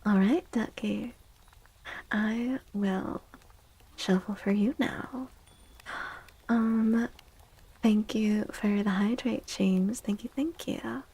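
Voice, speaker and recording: A woman livestream host speaking in a soft, gentle ASMR style, often half-whispering in a breathy, soothing and affectionate way. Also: medium pitch, fairly even intonation, slow, pauses often, rough recording. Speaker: livestream host doing tarot readings.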